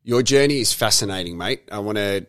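A man hosting a podcast, chatty and friendly, who asks quick follow-up questions. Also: lowish voice, a bit bright, clean tone, no echo. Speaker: podcast host. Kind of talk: casual interview.

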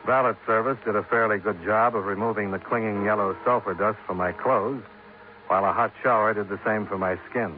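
An actor playing a cool, tough American investigator, clipped and sardonic, in 1950s radio detective style. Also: male voice, fairly low pitch, steady tone, fairly quick, lightly acted. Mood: wry, matter-of-fact. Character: cool, wry, tough male insurance investigator. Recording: noisy, narrow-band 1950s radio recording.

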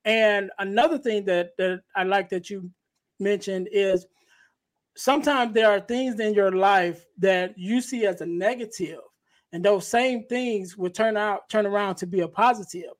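A man hosting a podcast, with a soft, light voice, reflecting on and affirming his guest's words. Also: sits high, a little slow. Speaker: podcast host. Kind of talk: casual podcast interview.

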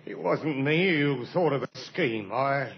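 Shifty, slangy crook, muffled. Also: male voice, medium pitch, lively intonation, slow, slight background noise. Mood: nervous, confessing. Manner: slangy, informal working-class talk. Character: shifty, wheedling male mechanic and small-time crook. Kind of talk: 1950s radio drama acting. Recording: muffled old radio recording.

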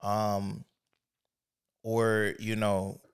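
A man from a Haitian-American family, co-hosting a casual podcast chat and telling his story in a relaxed, steady way. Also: lowish voice, very slow, clear, resonant tone. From United States, Haitian family. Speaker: podcast co-host.

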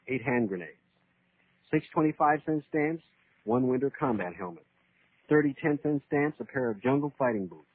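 Husky man making a wartime radio appeal. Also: medium pitch. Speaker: programme spokesman. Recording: muffled narrow-band 1940s radio recording.

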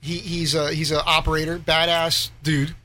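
A man guesting on a talk show, with a soft, breathy voice, telling a long story with many restarts. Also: on the high side, flat delivery, moderate pace. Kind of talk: casual storytelling interview.

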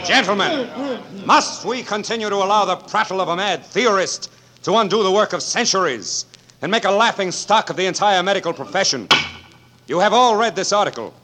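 Pompous, sneering establishment doctor. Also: male voice, high-pitched, animated, a little fast. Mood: indignant. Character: scornful, pompous male doctor of the medical establishment. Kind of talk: 1940s radio acting. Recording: noisy, clicking old radio recording.

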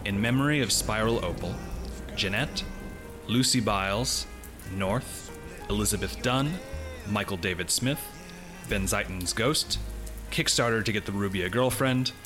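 A man reading scripted announcements as a podcast announcer, in a measured, formal manner. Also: fairly low pitch. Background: music.

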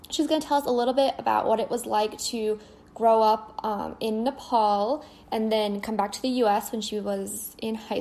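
A young female podcast host talks in an upbeat, chatty way, with a slightly high voice. A faint hiss of noise sits behind her. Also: dark tone. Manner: upbeat, chatty, uses fillers. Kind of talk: casual chat.

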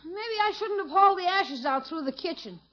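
A woman's voice: very high-pitched, expressive, brisk, background noise. A woman playing an eager, wisecracking young nephew in a 1950s radio comedy, talking in slangy exclamations. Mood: cheeky. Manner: slangy, exclamatory kid talk. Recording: dull old radio recording.

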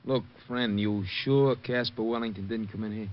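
An actor playing a cool, calculating gangster boss with slangy, mock-friendly menace in a radio crime drama. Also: male voice, fairly low pitch, steady tone, moderate pace, lightly acted. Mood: controlled, warning. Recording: muffled old radio recording, faint hiss.

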